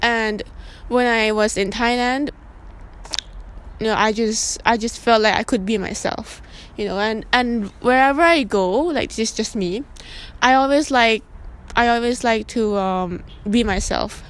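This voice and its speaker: A young woman from Laos talks through her answers in a loose, rambling manner, full of fillers. Her voice sits a little high. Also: measured pace, slight background noise. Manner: relaxed, rambling, with many fillers. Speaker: interview guest, student. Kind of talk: casual interview answers.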